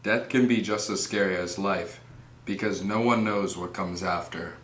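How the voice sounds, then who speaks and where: Low-voiced male reader as troubled prince's inner voice, reflective scripted monologue, roomy with some noise. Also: steady tone, moderate pace, a bit bright. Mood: grave. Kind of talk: scripted plain-English paraphrase of a soliloquy. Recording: echoey room with background noise.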